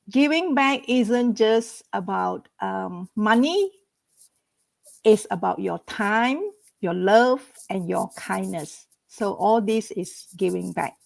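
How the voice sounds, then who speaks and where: A woman from Malaysia, an entrepreneur and mentor, giving a slow personal talk in an online group. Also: medium pitch, creaky, no room sound.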